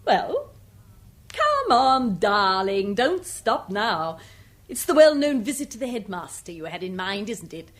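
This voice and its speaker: An actress playing a mocking, flirtatious middle-aged English wife in a radio drama, theatrical and sweetly condescending. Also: female voice, mid-range voice, big pitch swings, a little slow. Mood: cruel taunting. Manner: taunting, theatrical, sugary condescension. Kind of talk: 1970s radio drama acting.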